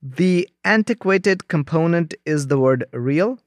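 A man's voice: a little high, animated, takes it slowly, no echo. Thoughtful man podcast co-host, dry sound. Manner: thoughtful, careful, affirming. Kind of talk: interview hosting.

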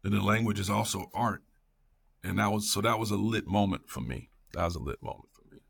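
A man's voice: fairly low pitch, expressive, fairly quick, vocal fry, fairly bright tone, husky. A middle-aged man talking casually and passionately as an interview guest, emphatic and repeating phrases for effect. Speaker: podcast guest, educator.

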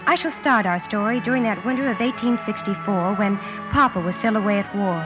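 A woman's voice: medium pitch, a little fast, music in the background, lightly acted. A woman playing a proper, wistful eldest sister in a 1950s radio drama. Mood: wistful narration. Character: ladylike eldest sister telling her family's story. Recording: dull vintage radio recording.

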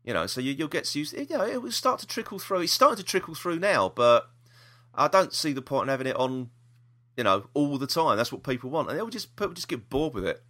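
A British man with a bright, lively voice hosts a casual podcast. His pitch moves around a lot as he rambles through the news and gives his opinions freely. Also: fast talker. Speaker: podcast host. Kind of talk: casual chat about TV news.

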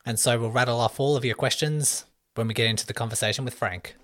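A man hosting a podcast, talking in a relaxed way with plenty of fillers and loose phrasing. His voice sits in a middle range and is picked up dry and close on the mic. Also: mid-range voice, fast, dry recording. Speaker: podcast host. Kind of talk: podcast interview.

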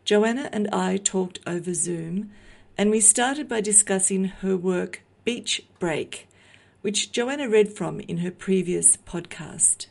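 Woman host reading her intro, measured, bit of fry in the voice. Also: medium pitch, a little mellow. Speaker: podcast host. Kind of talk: scripted introduction.

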